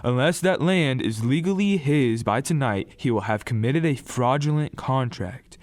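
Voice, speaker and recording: A man's voice: mid-range voice, no echo, natural voice. A man acting a stern, scheming older lawyer father in a radio drama, speaking formally and insistently.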